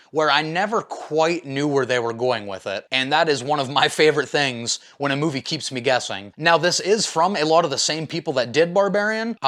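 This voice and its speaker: A man with a slightly high-pitched voice gives a casual, opinionated film review as the host. He speaks informally and with enthusiasm, using plenty of slang as he shares his views. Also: fairly bright tone. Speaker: movie reviewer, video host.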